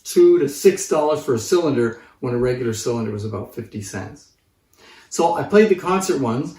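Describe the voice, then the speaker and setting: A man's voice: mid-range voice, animated, fairly quick, dark tone. Middle-aged man in a roomy, distant recording. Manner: conversational, explanatory. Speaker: presenter and collector of antique phonographs. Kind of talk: informal explanatory vlog to camera. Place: living room, seated on a sofa.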